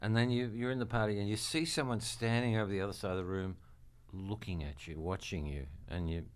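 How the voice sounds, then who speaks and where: A man's voice: lowish voice, steady tone, a little fast, clean tone. A man in casual group conversation on a podcast, effusive and speaking off the cuff. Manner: effusive, self-described loud talker. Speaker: podcast panelist.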